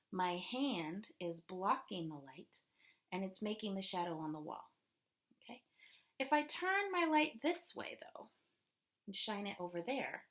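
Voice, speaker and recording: A woman's voice: medium pitch, a bit bright. A woman elementary school teacher reading aloud and then patiently explaining a lesson to children step by step. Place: small room at home, darkened.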